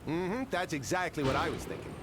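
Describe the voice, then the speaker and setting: A man's voice: on the high side, fairly quick, background noise, natural voice. A man voicing an easygoing villager in a video game, talking expressively. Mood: agreeable.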